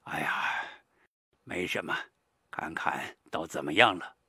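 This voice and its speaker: A man voicing an exhausted, resigned Buddhist master with a feeble, breathy voice in an audiobook. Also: extremely low pitch, slow pace, bright, forceful tone, dry recording, studio quality, voice pushed down, heavily acted.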